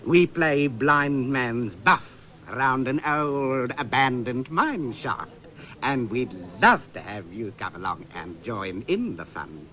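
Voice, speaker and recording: Exasperated male customer, South African, sarcastic, very animated, forceful edge. 1970s radio sketch. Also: mid-range voice, slow pace. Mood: sweetly sarcastic. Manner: polite but seething, builds to frantic outbursts.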